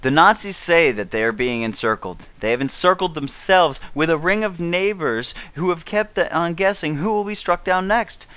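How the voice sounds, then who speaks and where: A man performs as a grave British elder statesman delivering a 1930s wartime radio address. The voice is forceful and clean, recorded close and dry. He declaims with defiance, leaning hard on key words in stately oratory. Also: fairly high pitch, expressive, normal speed, hardly any pauses, clear, resonant tone, dry recording. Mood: wry, defiant. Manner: declamatory, emphatic, dramatic stresses, occasional stumbles.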